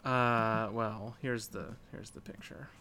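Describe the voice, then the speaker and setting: A man co-hosting a casual gaming podcast, speaking in a halting, hesitant way. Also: lowish voice, steady tone, bright, forceful tone, smooth. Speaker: podcast co-host. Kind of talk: casual video game podcast chat.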